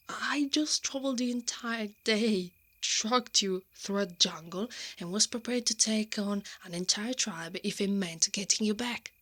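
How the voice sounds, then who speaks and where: A woman acts out a brave lady knight in an intimate ASMR roleplay. She is brash, protective and quick to exasperation, with a mid-pitched voice. Her defiance tends to trip into flustered stammering that betrays a hidden soft spot. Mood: flustered, tender.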